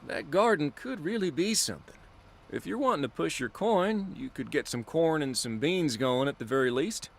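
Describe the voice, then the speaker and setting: A lively, folksy frontier lawman. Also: a little high, moderate pace, creaky, slight background noise. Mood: eager, encouraging. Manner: animated, encouraging, colloquial. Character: genial, folksy frontier lawman in a post-apocalyptic western. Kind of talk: video game cutscene acting. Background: background music.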